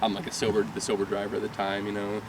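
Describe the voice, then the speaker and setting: Low-voiced young man, rambling and laughing. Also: monotone, very fast. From Michigan, USA. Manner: rambling, laughing storyteller with many restarts. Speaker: rock band singer. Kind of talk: casual interview chat. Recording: far from the mic, loud traffic noise.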